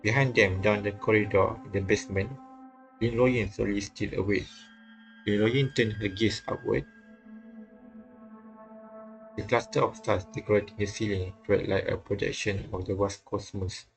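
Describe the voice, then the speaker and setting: Flat, low-voiced man reading a game aloud. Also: gravelly, low-quality recording. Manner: halting, repeats phrases, puzzles aloud. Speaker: streamer reading a visual novel. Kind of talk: reading game text aloud with casual commentary. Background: game music faintly behind.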